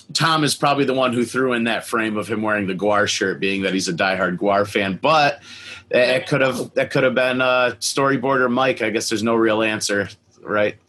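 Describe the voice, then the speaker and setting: A man's voice: mid-range voice, normal speed, a bit bright. American man hosting a casual interview. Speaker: interview host. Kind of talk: casual fan interview chat.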